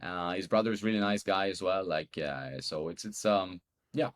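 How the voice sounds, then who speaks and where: A man's voice: low voice, normal speed. A man speaking English as a guest in a casual chat, easygoing and thoughtful. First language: Swedish.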